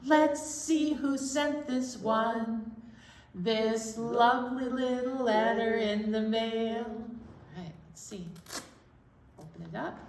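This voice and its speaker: A woman hosting an interactive storytime for preschoolers, playful and expressive, prompting the children with questions. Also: on the high side, slow pace, a little hiss or hum. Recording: echoey room.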